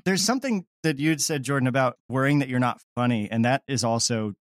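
Guy, medium pitch, wry jokey podcast talk, no room echo. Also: a little fast, studio quality. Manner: wry, joking. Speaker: comedy podcast creator. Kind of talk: casual interview conversation.

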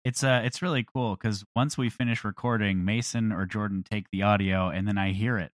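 A man's voice: lowish voice, fairly even intonation, a little fast, dry recording, clean studio sound. A man talking casually as an interview guest, informal and a little hesitant. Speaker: audio comedy creator, interview guest.

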